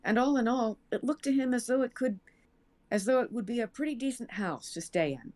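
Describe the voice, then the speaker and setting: A woman's voice: fairly high pitch, lively intonation, a little fast, darkish tone. An American woman reading fiction aloud as a storyteller. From United States. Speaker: show cast member reading a story aloud.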